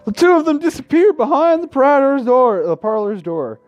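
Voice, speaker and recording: A young man hosting a casual Let's Play, talking in a halting way and stumbling over his words. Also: extremely high pitch, sing-song, darkish tone, highs cut off, faint music behind. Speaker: gaming video host.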